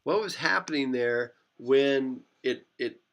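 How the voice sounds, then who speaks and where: A man's voice: mid-range voice, slow pace, a bit bright. A man teaching a physics class, rambling and correcting himself as he talks. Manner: rambling, self-correcting, repeats phrases. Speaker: physics instructor. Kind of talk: classroom lecture.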